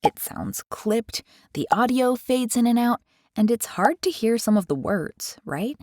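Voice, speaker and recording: A woman's voice: fairly high pitch, lively intonation, a little slow, a little mellow, no room sound, studio quality. An American woman hosting a chatty, curious tutorial from her bedroom. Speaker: tutorial host. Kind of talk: casual explainer. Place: carpeted bedroom. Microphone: headset mic.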